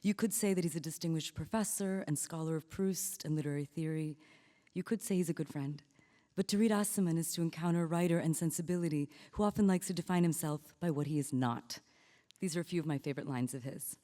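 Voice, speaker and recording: Woman, stage introduction, measured and formal, mellow low voice, long hall reverb. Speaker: interviewer introducing the author. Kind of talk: prepared introduction. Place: auditorium on stage.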